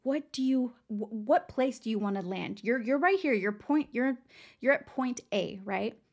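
Upbeat woman with a raspy, worn voice. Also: fairly high pitch, unhurried, darkish tone. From San Antonio, Texas. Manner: chatty, upbeat, addresses listeners as girl. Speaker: podcast host. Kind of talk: casual motivational podcast talk.

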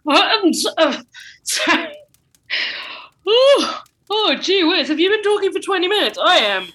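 A woman's voice: very high voice, very animated, a little slow, a bit bright, heavily acted. A woman playing a scatterbrained, apologetic old male wizard headmaster, dithering and exclaiming in an improvised comedy sketch. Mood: flustered, apologetic. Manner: dithering, exclamatory, absent-minded.

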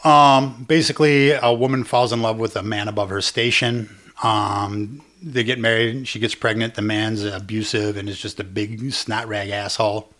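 A man with a lowish voice talks in an easy, unscripted way on a podcast. He explains with plenty of "um"s, repeats himself and restarts his sentences as he goes. The voice sits a little below the usual male range. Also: fairly even intonation, moderate pace, few pauses, fairly bright tone. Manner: explains casually with many fillers and restarts. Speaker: podcast co-host. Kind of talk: casual podcast chat.

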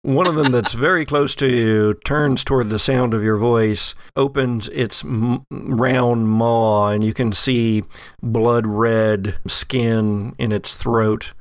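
A man runs a horror tabletop game as game master, narrating slowly and descriptively. His voice has a gravelly creak, and it is recorded close and dry. He builds suspense deliberately. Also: medium pitch, fairly even intonation, dry recording.

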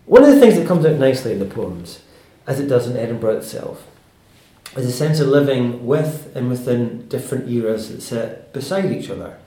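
Guy doing a book interview, thoughtful and kinda tentative, warm dark tone, echoey room. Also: mid-range voice, lively intonation, fairly quick. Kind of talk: conversational literary interview. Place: indoor room with some echo. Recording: roomy, echoing indoor sound.

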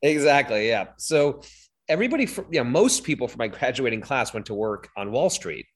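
A man in his thirties, a company founder, recounting his career in a relaxed interview with many fillers. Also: mid-range voice, fairly quick, husky. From born in Hong Kong, raised in London. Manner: chatty, with many fillers and self-corrections. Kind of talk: interview, personal storytelling.

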